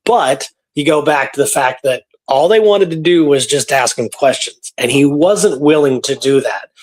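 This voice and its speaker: A man's voice: mid-range voice, normal speed. A man co-hosting a show, talking casually through history and voicing imagined thoughts as he tells it. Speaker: radio show co-host.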